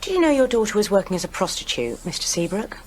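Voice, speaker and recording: An actress playing a blunt, probing police detective who questions a suspect accusingly in an audio drama. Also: female voice, medium pitch, sing-song, quick pace, darkish tone, slight background noise, natural voice.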